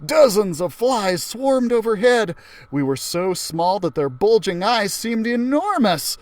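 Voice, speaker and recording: A man with a fairly high voice reads a children's storybook aloud. His delivery is very animated, swinging widely in pitch, and he acts out the characters as he goes. The sound is dry and close. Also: measured pace, dry recording. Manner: expressive, acts out characters' lines. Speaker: library storyteller reading aloud.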